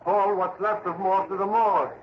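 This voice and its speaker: An actor playing a chatty, blunt police sergeant in a 1960s radio drama, folksy, emphatic and sure of himself. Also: male voice, high voice, steady tone, fast talker, a crowd in the background, lightly acted. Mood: matter-of-fact, insistent. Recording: noisy, muffled old radio broadcast.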